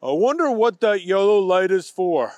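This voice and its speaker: A man's voice: sits high, very animated, moderate pace, a little mellow, no room sound. A man voicing a dopey, greedy young man who loves candy and talks in a hesitant way. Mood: curious.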